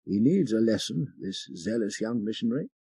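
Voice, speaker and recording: A man voicing a stern, patient old French priest in an audiobook, speaking in a measured, deliberate way. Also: lowish voice, expressive, warm tone, breathy edge, no echo. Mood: grave. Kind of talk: audiobook character dialogue.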